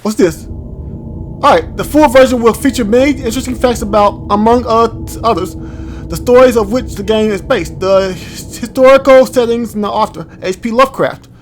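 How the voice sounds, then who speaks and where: A man hosting a gaming video, thinking aloud in an informal, halting way as he comments and reads from the screen. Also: very high voice, slight background noise, low-quality recording. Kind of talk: casual let's play commentary, reading on-screen text aloud.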